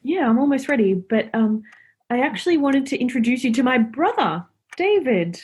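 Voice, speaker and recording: A teasing young woman in a radio play, played by an actress. Her voice sits fairly high with a husky grain, and she talks in a natural, brisk, blunt way. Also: big pitch swings, a little mellow, heavily acted.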